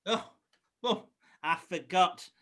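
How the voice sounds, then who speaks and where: A man's voice: high-pitched, takes it slowly, sharp tone, heavily acted. A man playing a miserly, cruel schoolmaster. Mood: smug.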